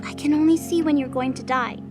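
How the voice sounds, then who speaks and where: A woman plays a young feathered goddess in a mythological audio drama. Her voice is high, gentle and anxious, protesting with firmness over clearly audible music. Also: steady tone, a little fast, natural voice. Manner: soft pleading, protesting.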